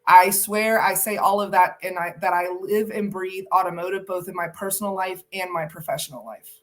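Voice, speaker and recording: A woman's voice: lowish voice, fairly even intonation, fairly quick. A woman, giving a chatty, self-correcting introduction. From Atlanta, Georgia, USA. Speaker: industry head. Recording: distant from the mic.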